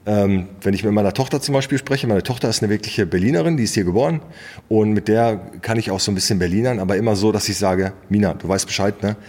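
A middle-aged man with a Ruhr German accent tells stories in casual, colloquial conversation. His voice is husky and fairly low, and it rings with a long hall reverb. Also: fast talker, darkish tone. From Ruhr area, western Germany. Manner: colloquial, anecdotal. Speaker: interview guest.

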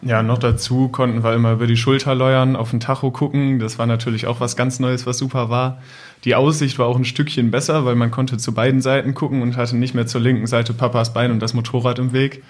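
A man's voice: mid-range voice, level tone, fairly quick. A young adult man, a podcast guest, casually recalling past trips in conversational speech. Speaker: podcast guest, son of travelling family. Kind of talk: casual recollection.

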